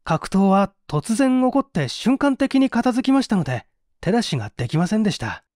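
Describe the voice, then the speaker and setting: A man's voice: sits high, very animated, fairly quick, darkish tone, dry recording, clean studio sound, voice pushed up. A man voicing an earnest, dutiful young man who speaks politely and formally in a dramatized story reading. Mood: heartfelt. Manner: formal, earnest, emphatic phrasing.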